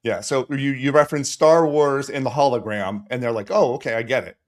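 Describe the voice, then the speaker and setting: A man's voice: mid-range voice, brisk. A man hosting a podcast, reading a guest introduction and then chatting in an upbeat, conversational way. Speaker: podcast host. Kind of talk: conversational interview.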